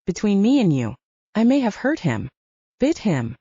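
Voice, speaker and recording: A woman's voice: mid-range voice, huge pitch swings, normal speed, mellow, husky, dry recording, muffled recording, heavily acted. A woman voicing an easygoing, flirtatious male lover, teasing and unbothered, in an audiobook. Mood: casual.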